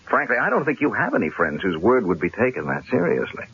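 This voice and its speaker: An actor playing a cool, shrewd newspaperman in a radio drama, speaking in a measured, dryly sardonic way. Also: medium pitch, very animated, fairly bright tone, a little hiss or hum. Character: cool, shrewd newspaper columnist, stalling his would-be killer. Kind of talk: 1970s radio drama acting. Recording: dull, lo-fi old radio broadcast.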